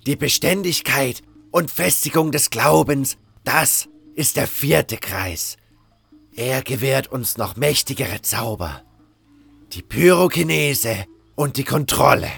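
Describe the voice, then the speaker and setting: A man playing a solemn cult guru preaching his faith in a fantasy audio drama, with a gravelly, husky voice and measured delivery. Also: medium pitch, expressive, a bit bright, exaggerated voice. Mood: solemn, devout.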